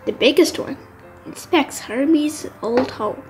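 Female storybook narrator for young children, high voice, unhurried and expressive, light music underneath. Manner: expressive, deliberate phrasing.

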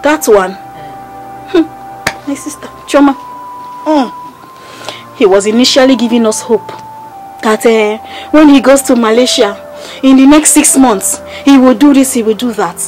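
A distressed young mother acted by a woman laments wearily in a fairly high voice with a Nigerian accent. She sighs and repeats phrases for emphasis. It is film drama, with some music underneath. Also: measured pace, a little mellow, lightly acted. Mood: weary, resigned. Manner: sighing, lamenting, emphatic repetition. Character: weary, distressed young mother confiding hardship to a friend. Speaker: actor.

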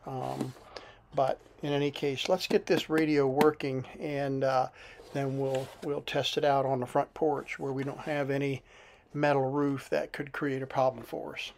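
A man's voice: medium pitch, little pitch movement, unhurried, creaky, a little mellow, a little hiss or hum. A middle-aged American man hosting a casual hands-on repair demonstration, hesitant and thinking aloud as he works. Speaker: host of a vintage radio repair channel. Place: indoor workshop with electronics benches.

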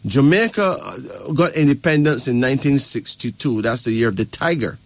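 A man holds forth like an adviser, explaining at length and pausing to ask if he is followed. His voice sits at an ordinary middle pitch. The sound is close and dry, with no room around it. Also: lively intonation, no room sound. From Barbados. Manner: lecturing, keeps checking with "right?" and "okay?". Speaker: spiritual advisor. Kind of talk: casual advice talk.

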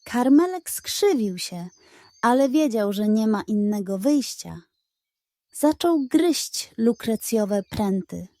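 A woman narrates a children's bedtime fairy tale at a slow, measured pace. Her voice is fairly high and mellow, with expressive delivery. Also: no echo. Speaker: storyteller.

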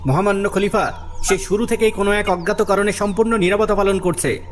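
A dutiful officer of the court, played by a man addresses his ruler in a cartoon. He is formal and respectful in his delivery. Soft music sits behind the voice. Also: sits high, steady tone, a little fast, close to an everyday voice. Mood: respectful report. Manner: formal, deferential. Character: respectful officer reporting to the caliph.

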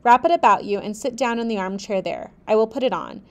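A woman reading aloud, voicing a brusque, commanding adult gentleman who barks orders. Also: on the high side, a little fast, lightly acted.

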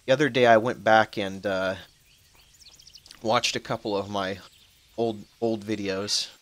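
A man's voice: on the low side, steady tone, measured pace. Rambling young man narrating gameplay. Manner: rambling, chatty, swears at the game. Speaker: gaming channel host. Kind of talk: casual let's-play commentary. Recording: faint background noise, voice drops out when soft.

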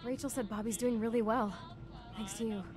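A woman's voice: a little high, fairly even intonation, fairly quick, lightly acted. A woman playing a weary, tough young vault dweller in a video game, blunt and informal as a protective sister. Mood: relieved, determined. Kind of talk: video game dialogue acting. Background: game music.

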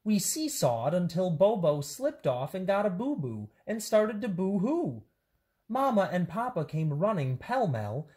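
A woman's voice: lowish voice, a little mellow, pitched above the performer's own voice. A woman voicing an earnest little schoolgirl who explains herself in a singsong way. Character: earnest little schoolgirl telling her story.

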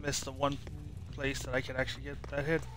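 A man's voice: medium pitch, completely flat delivery, fairly quick. A young man giving casual, off-the-cuff gameplay commentary as a streamer. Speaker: game streamer. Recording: noisy stream with game sounds.

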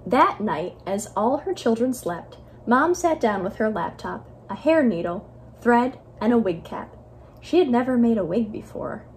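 A young American woman reading a children's story aloud at home as a library storyteller, expressive and carefully enunciated. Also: a little high, a little slow, slight background noise. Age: young adult. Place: living room at home, indoors.

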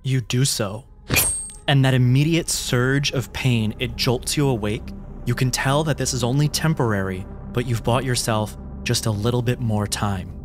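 Male game master narrating over clear music. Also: medium pitch. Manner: descriptive, deliberate storytelling. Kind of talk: dramatic narration of a tabletop game. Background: music behind the voice.

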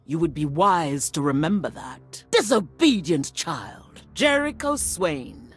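A woman's voice: low voice, sing-song, takes it slowly, slightly rough, exaggerated voice. A woman playing a proud, ruthless middle-aged general and warrior mother in a video game, with an imperious, menacing delivery. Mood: cold, proud. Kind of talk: dramatic video game voice acting. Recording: music under the voice.

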